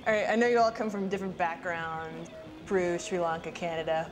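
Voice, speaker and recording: A woman's voice: lowish voice, steady tone, a little fast, over music. A woman hosts the interview, asking friendly, upbeat questions. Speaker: interview host. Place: music club.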